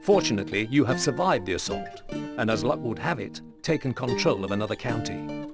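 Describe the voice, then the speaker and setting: A man narrating a video game story in a measured, scripted storytelling manner. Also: medium pitch, expressive, gravelly, over music.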